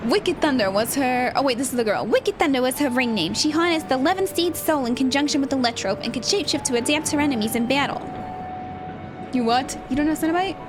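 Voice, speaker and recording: A woman streamer giving casual, animated gameplay commentary, joking and slangy, prone to excited outbursts. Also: high voice, quick pace. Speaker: streamer playing an online role-playing game. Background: game music.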